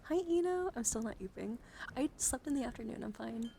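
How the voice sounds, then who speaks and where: A woman hosting an ASMR livestream, speaking softly and breathily into a close mic, often slipping into whispers, in a playful, cutesy, scattered way. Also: fairly high pitch, expressive, a little fast, gravelly, a little hiss or hum. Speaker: livestream host. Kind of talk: ASMR livestream, whispering and soft talk to chat.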